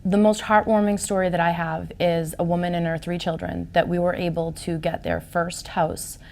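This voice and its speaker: A woman's voice: fairly low pitch, little pitch movement, normal speed, darkish tone, slight background noise. A woman in her forties whose first language is Portuguese, talking as a real estate agent in an interview, upbeat and emphatic. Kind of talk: interview conversation.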